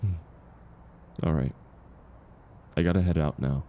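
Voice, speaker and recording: Male actor as kind weary drifter, very low voice, gravelly fry, unhurried, gentle, comfort audio roleplay, slight background noise. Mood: hopeful, tender. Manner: gentle, encouraging, unhurried, chuckling often. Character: kind, world-weary drifting performer, once homeless, comforting a stranger.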